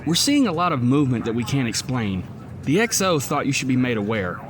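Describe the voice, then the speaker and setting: A man's voice: mid-range voice, very animated, brisk, noisy background, natural voice. A man playing a nervous, deferential young Coast Guard ensign in an audio drama. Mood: uneasy. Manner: hesitant, deferential.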